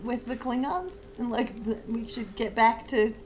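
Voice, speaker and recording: Higher-pitched woman, hesitant game chat. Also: measured pace, darkish tone, a little hiss or hum, low-quality recording. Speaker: player in an actual-play show. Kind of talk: casual tabletop game chat.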